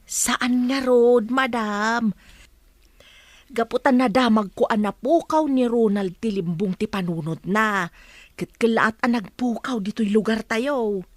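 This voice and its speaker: A woman's voice: fairly high pitch, slow pace, no room sound. A woman with an Ilocano accent playing a deferential gossip addressing her madam in a radio drama. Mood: hesitant, informing.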